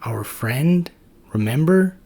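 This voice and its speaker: A man's voice: fairly high pitch, animated, slow, mellow, pitched above the performer's own voice, full character voice. A man voicing a tired, exasperated male helper T cell who keeps explaining things to his roommate.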